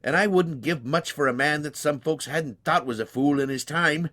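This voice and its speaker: Man putting on a high-pitched, folksy old-timer. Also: moderate pace, fairly bright tone, close to an everyday voice. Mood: impatient. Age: 90s. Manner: folksy, rambling, exclaiming. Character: cranky, folksy ninety-one-year-old man, plain-spoken and stubborn. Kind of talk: dramatized storybook reading.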